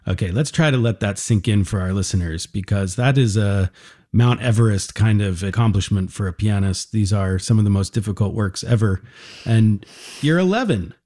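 Radio host, warm mellow tone, gentle, encouraging, explains things plainly. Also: low-pitched, normal speed. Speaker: radio host interviewing a young pianist. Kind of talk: radio music interview.

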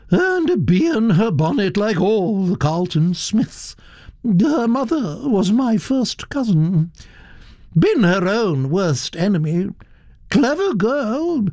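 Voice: medium pitch, a little mellow, no room sound, studio quality, pitched above the performer's own voice, exaggerated voice. A narrator voicing a blunt, gossipy elderly duchess in an audiobook, her voice lifted well above the narrator's own. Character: imperious elderly aristocratic duchess. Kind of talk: audiobook character voice.

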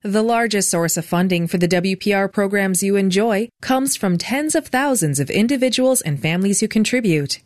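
A woman's voice: mid-range voice, no room sound, clean studio sound. A woman with an American accent reading a scripted public radio membership announcement. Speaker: station announcer.

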